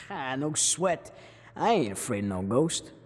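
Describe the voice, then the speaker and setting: A man's voice: mid-range voice, sing-song, unhurried, long reverb tail. A man voicing a cocky, reckless young ghost hunter in a cartoon, with a brash, boastful, slangy manner. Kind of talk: animated series dialogue.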